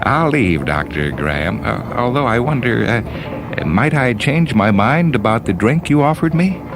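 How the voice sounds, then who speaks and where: A man's voice: medium pitch, animated, a little slow, music in the background, voice pushed up. A man voicing an earnest, courteous male visitor in a radio-style story reading, hesitant and formal in his speech. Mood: politely earnest. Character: earnest, polite male visitor pleading against weapons.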